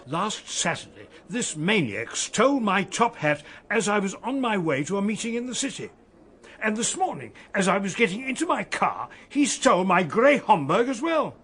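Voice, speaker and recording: A man playing a formal, indignant upper-class British gentleman with a clipped, huffy manner in a radio drama. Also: sits high, very animated, normal speed.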